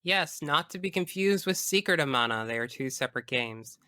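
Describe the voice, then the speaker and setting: A woman's voice: deep voice, lively intonation, moderate pace, sharp tone, no room sound, studio quality. A young woman chatting casually and emphatically as a guest in an interview. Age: young adult. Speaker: podcast guest. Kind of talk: casual interview chat about video games.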